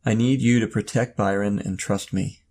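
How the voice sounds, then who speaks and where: An actor playing an enigmatic, commanding older robed man in an audio drama, with a gravelly voice and a solemn, cryptic, measured delivery. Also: lowish voice, steady tone, a little mellow, close to an everyday voice. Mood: grave, urgent.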